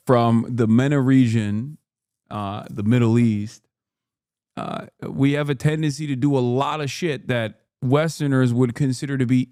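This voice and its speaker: A man streaming live and reacting to a debate clip, joking and laughing often, dropping to low mutters and jumping to loud high outbursts. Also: smooth, dry recording, clean studio sound. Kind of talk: casual reaction commentary.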